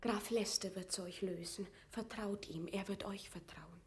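A woman's voice: medium pitch, steady tone, moderate pace, slight background noise, natural voice. An actress playing a proud, sorrowful captive queen in a classical verse radio drama, with a dramatic, declaimed delivery. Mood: hushed, resolute. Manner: dramatic, swings from hushed to anguished.

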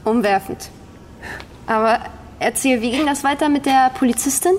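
A woman's voice: high-pitched, normal speed, slight background noise, lightly acted. An actress playing a wary young runaway woman who talks colloquially and questioningly in a radio drama. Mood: wry.

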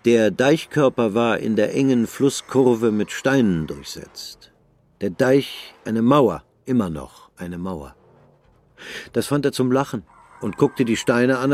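A man narrating a radio drama in a measured, sober way. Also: mid-range voice, a little mellow.

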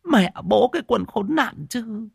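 A man voicing a gruff, foul-mouthed old woman of about seventy who scolds loudly but is kind underneath. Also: very high voice, animated, measured pace, no room sound, studio quality, voice pushed up, exaggerated voice. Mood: indignant. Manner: scolding, cursing.

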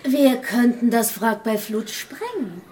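A woman's voice: fairly high pitch, lively intonation, takes it slowly, dark tone, distant mic, slight background noise. A woman playing a callous gang member, impatient and commanding, in a German radio drama. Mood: callous impatience.

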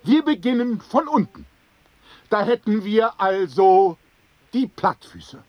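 A man's voice: high voice, expressive, takes it slowly, no room sound. A man playing a taunting, pompous bully who leads a rowdy gang, lecturing with mock politeness in a radio play. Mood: gleefully contemptuous lecturing. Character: jeering, sadistic antisemitic bully, leader of a rowdy men's group. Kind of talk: German radio play acting.

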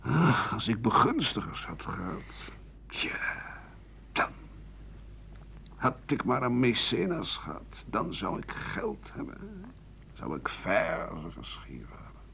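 A man playing a weary, embittered writer of 67 in a radio play, grumbling in halting phrases that trail into breathy murmurs. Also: lowish voice, sing-song, sharp tone, heavily acted. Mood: bitter, weary. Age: older. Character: embittered, weary 67-year-old French writer and doctor, pessimistic. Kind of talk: radio play monologue as interview answers. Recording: dull, hissy 1980 radio recording.

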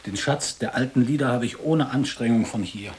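A middle-aged man playing a nostalgic former boarding-school pupil in a radio drama, speaking in a reflective, deliberate, literary way. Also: medium pitch, slight background noise, close to an everyday voice. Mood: reminiscing.

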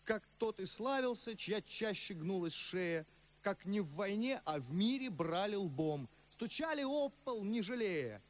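Fiery high-voiced young nobleman. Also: very animated, a little slow. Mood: sardonic. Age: young adult. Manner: impassioned, biting, declamatory. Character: fiery, sardonic young nobleman, outspoken freethinker. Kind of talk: theatrical verse radio drama.

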